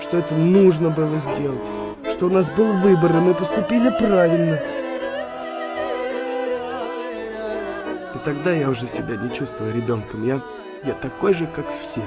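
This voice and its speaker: Anguished young sailor, played by a man, slightly high-voiced. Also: animated, lightly acted. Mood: angry, searching. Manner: halting, brooding, emotional monologue. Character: shell-shocked young British wartime sailor, anguished, brooding. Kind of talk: audio drama acting. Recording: music under the voice.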